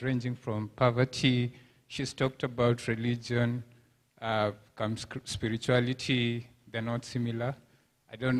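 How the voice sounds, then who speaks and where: A man from Kenya in the audience, asking a thoughtful question and searching for his words. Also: medium pitch. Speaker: audience member.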